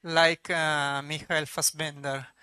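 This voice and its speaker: A native Italian speaker with an Italian accent hosting an interview in English, halting and searching for words. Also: mid-range voice, level tone, sharp tone. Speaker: cinema host interviewer. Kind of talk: interview questions.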